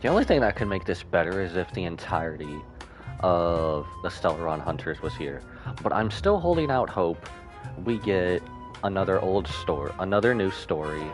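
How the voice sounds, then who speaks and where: A man's voice: sits low, expressive, normal speed, music in the background. A man giving casual live reaction commentary as a game streamer, slangy and speculating aloud.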